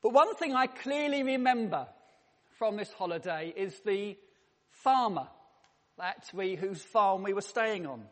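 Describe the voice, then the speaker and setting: High-voiced preacher in a reverberant church. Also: male voice, animated, a little slow, fairly bright tone. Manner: humorous anecdotal storytelling. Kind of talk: sermon. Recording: long reverb in a church hall.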